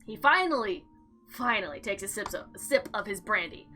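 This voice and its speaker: Woman, let's play narrator, very lively swooping pitch, bright voice, expressive reading, casual reactions, soft music behind. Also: brisk. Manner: animated, expressive, dramatic reading. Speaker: gamer reading and reacting to a visual novel. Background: faint background music.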